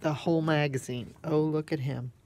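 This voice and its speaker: A woman's voice: sits low, steady tone, normal speed, darkish tone, a little hiss or hum. A woman craft vlogger chatting casually and gushing over the items she shows, sounding congested with a snotty nose. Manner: gushing, exclamatory. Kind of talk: casual rambling chat while showing craft items.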